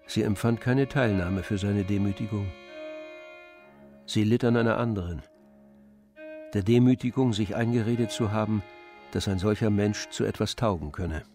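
Measured male narrator with faint music. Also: on the low side, dark tone. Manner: measured storytelling. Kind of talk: scripted literary narration for a radio play.

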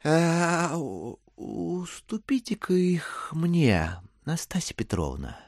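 A man acting a smooth, wheedling gentleman schemer in an audio drama, ingratiating and politely hesitant. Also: a little high, very animated, takes it slowly, no room sound, clean studio sound, full character voice. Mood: coaxing.

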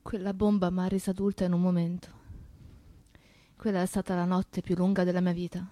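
An actress playing an anxious, grieving young woman in a radio play, with intense, deliberate phrasing. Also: lowish voice, little pitch movement, dark tone, no room sound, lightly acted. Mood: sombre, reflective. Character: anxious young woman, grieving comrade remembering a terrible night. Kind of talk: theatrical radio play monologue and dialogue.

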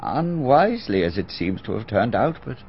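A dry old family solicitor. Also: male voice, mid-range voice, very animated, gravelly, slight background noise. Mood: measured. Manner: measured, formal, slightly pompous. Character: elderly male family solicitor, dry and measured. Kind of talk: BBC radio drama acting. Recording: dull archival radio recording.

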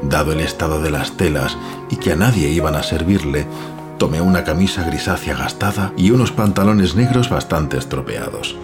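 Casting: farmer dad, extremely low voice, measured first-person horror storytelling over prominent music. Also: male voice, lively intonation. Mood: wistful, tender. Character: farmer father, puzzled, fond of his daughter. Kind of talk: dramatic first-person narration in horror audio drama. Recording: music behind the voice.

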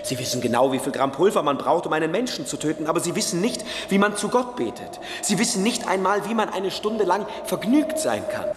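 An actor playing a calm, prophetic young man who speaks solemnly and with assurance in a German radio play. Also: a little high, brisk, hardly any pauses, noisy background. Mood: grave, prophetic.

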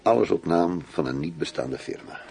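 A self-assured police infiltrator in an intimate radio play. His voice is deep with a creaky edge, teasing and worldly, over faint music. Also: lively intonation, moderate pace, darkish tone. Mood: confiding, boastful. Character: smug, worldly German undercover police chief and lover.

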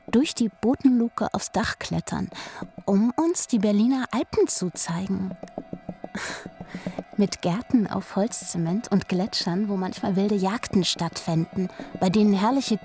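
A woman's voice: medium pitch, a little mellow. A woman playing an uneasy, wondering woman who confides hesitantly in a radio drama. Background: music.

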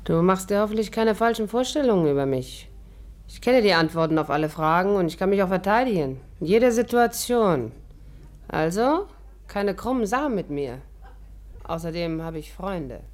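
A woman's voice: on the low side, expressive, moderate pace, a little mellow, natural voice. An actress playing a worldly, mocking gangster's girl turned barmaid in a radio play. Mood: defiant. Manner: mocking, sassy, self-assured. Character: brassy, worldly female barmaid, former gangster's girlfriend. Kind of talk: 1950s German radio play acting.

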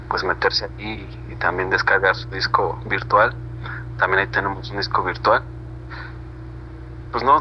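A Mexican man being interviewed as a band member, chatting casually in a slangy, rambling way with frequent tag questions. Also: deep voice. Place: remote internet call. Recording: muffled, noisy internet video call.

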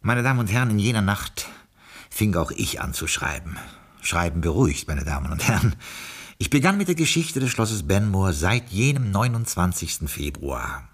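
Radio drama butler, deep voice, lively intonation, formal, courteous, wry, understated. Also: male voice, moderate pace, breathy edge, heavily acted. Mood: wry, confiding. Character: discreet, wry male butler narrating his memoirs. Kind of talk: German radio play acting with narration.

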